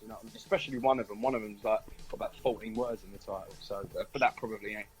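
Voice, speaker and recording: A man's voice: on the low side, fairly even intonation, very fast, soft background music, poor audio. A British man chatting casually as a singer-songwriter in a radio interview, with a hesitant, rambling, informal manner.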